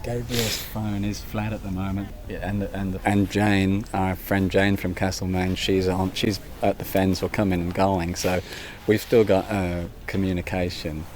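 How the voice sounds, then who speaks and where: A man in casual conversation about plans, speaking in a measured, slightly hesitant way. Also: low voice, flat delivery, a little mellow, a little hiss or hum. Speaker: protest supporter. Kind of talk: casual planning chat.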